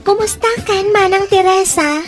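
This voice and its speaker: Very high-pitched dutiful young daughter. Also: female voice, moderate pace, soft background music. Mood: concerned. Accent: Ilocano. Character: Hana, dutiful studious young daughter and college student. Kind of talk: Ilocano radio drama acting.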